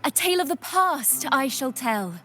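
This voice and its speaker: A woman's voice: high voice, expressive, pushed and bright. A woman voicing a young female bard in a video game, defiant and playful, telling her tales in a theatrical, poetic manner. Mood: solemn, stirring. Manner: theatrical, measured, poetic delivery. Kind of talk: dramatic storytelling in game voice acting. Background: music.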